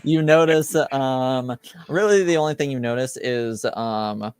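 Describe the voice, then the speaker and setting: A man running a tabletop game as game master, narrating in a relaxed way with many fillers. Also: medium pitch, a little slow, clear, resonant tone, no echo, clean studio sound.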